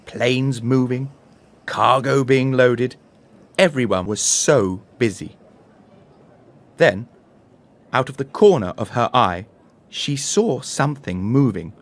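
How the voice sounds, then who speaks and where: A man tells a children's story from a script. He takes it very slowly, with a mid-pitched voice that rises and falls in a lively, expressive way.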